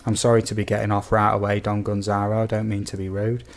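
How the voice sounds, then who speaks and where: A man from the UK with a slightly croaky voice, chatting casually and easily to viewers as a livestream host. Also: on the low side, monotone, fast talker, a little hiss or hum. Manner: easygoing, chatty, addresses viewers as buddy and guys. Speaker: game streamer, host.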